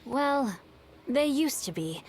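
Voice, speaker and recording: A woman voicing a bookish, refined teenage boy in an anime video game, speaking in a measured, articulate, polite way. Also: high-pitched, animated, a little hiss or hum, full character voice. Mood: explaining. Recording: soft game music behind the voice.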